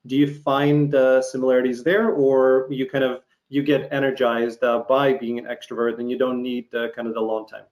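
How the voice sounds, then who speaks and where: Reflective male interviewer, medium pitch. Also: little pitch movement, normal speed, few pauses, darkish tone. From Ukraine, former Soviet Union. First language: not English; learned it as a teenager. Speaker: interviewer, host. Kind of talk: casual conversational interview.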